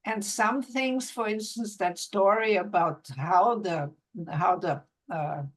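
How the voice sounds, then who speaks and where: An older woman in a virtual book club, speaking haltingly and searching for words over a video call. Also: medium pitch, fairly even intonation. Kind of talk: casual group discussion.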